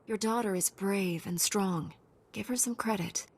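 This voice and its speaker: An actress playing a calm, resolute female knight in a video game, speaking in a firm, matter-of-fact way. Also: mid-range voice, unhurried, breathy edge. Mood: firm, dry.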